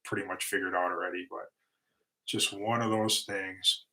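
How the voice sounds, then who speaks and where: A man's voice: deep voice, little pitch movement, normal speed, bright, forceful tone, low-quality recording. A middle-aged man casually answering viewer questions on his livestream, easygoing and rambling, his voice tiring. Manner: easygoing, rambling with restarts and fillers. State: voice getting tired and going. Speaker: livestream host, lawn and concrete business owner.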